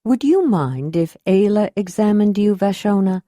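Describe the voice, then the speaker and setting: A woman voicing a large, commanding spiritual leader who speaks in a measured, formal way. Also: mid-range voice, lively intonation, mellow, dry recording, clean studio sound, close to an everyday voice. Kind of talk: audiobook character voice.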